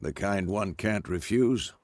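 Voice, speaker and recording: An actor playing a seasoned old monster hunter in a video game, speaking in a dry, wry manner. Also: male voice, lowish voice, unhurried, a little mellow, no room sound, lightly acted. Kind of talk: video game dialogue acting.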